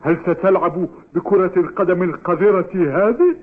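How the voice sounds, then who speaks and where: An actor playing an eager, good-natured young football player in a cartoon dub. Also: male voice, high-pitched, normal speed. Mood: chatty. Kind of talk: Arabic cartoon dub acting. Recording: tinny, faintly noisy TV dub.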